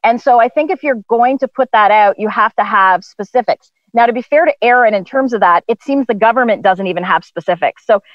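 A woman on a political panel over a video call, arguing briskly with many restarts. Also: fairly high pitch, no echo, muffled recording.

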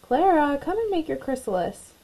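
A woman's voice: high voice, sing-song, moderate pace, warm tone, voice pushed up. A woman voicing a kind, friendly young male caterpillar in a storybook, encouraging and exclaiming.

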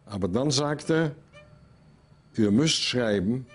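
A man's voice: mid-range voice, animated, slow, slightly rough, faint music behind. A man recounting memories as testimony, in short, simple phrases.

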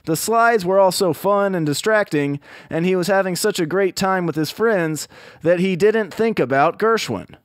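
A man's voice: sits high, moderate pace, no echo, clean studio sound. An American man telling a scripted children's story in a conversational, explanatory way. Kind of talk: scripted storytelling narration for kids. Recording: music bed behind narration. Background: music.

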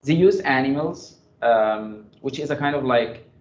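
A man fielding questions after a research talk, pausing often and restarting his sentences. The sound is roomy and a bit dull, as if captured through a lecture hall setup. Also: medium pitch, expressive, a little hiss or hum. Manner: hesitant, many fillers and restarts. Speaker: graduate student presenter. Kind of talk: answering audience questions. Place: lecture room. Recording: echoey, dull room sound.

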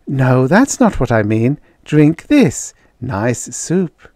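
A man voicing a brisk, bossy, stubbornly practical woman settler in a story, coaxing and defiant by turns. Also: mid-range voice, very animated, a little mellow, no room sound, clean studio sound, voice pushed up. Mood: coaxing, instructing.

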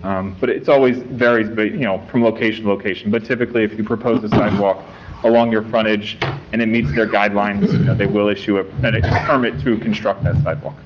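Lower-voiced male engineer at a podium mic, measured and technical, muffled meeting-room recording with faint hiss. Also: fairly even intonation. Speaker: traffic engineer testifying for applicant. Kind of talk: formal testimony at a public meeting.

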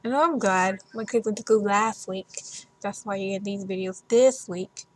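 A woman's voice: medium pitch, normal speed. A young woman chatting casually in a gameplay voiceover, rambling with fillers, losing her voice. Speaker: gaming YouTuber. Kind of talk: casual game commentary voiceover.

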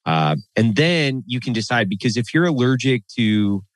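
A man co-hosting a podcast in casual, profane banter, blunt and animated, talking into a boom mic in a studio room. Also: moderate pace, dry recording. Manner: blunt, animated, swears freely. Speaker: podcast co-host. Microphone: studio mic on boom arm.

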